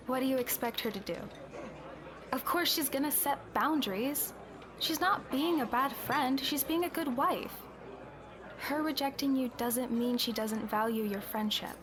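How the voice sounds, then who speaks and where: A woman's voice: high voice, a little slow, crowd noise. A woman playing a young tavern keeper and confidante who talks bluntly but reassuringly, in a conversational roleplay drama. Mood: firm, candid.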